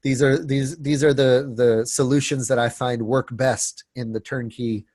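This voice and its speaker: A man's voice: mid-range voice, fairly even intonation, unhurried, a little mellow, no echo, clean studio sound. A man presenting a webinar, stuffy and sniffling from a cold, talking casually with many fillers and restarts. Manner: filler-heavy, frequent restarts and repeated words.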